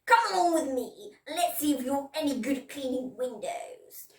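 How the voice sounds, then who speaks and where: A woman's voice: sits high, lively intonation, moderate pace, recorded from a distance, pitched above the performer's own voice. A woman voicing a bossy, blustering old duke in a storybook, imperious and exclamatory. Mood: gruffly agreeable. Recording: echoey room, away from mic.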